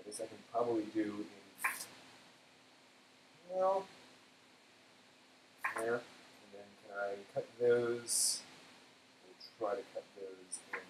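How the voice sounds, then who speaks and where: A man hosting a casual, unscripted cooking live stream, thinking aloud in a hesitant way and trailing off as he works. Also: mid-range voice. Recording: roomy echo, background noise.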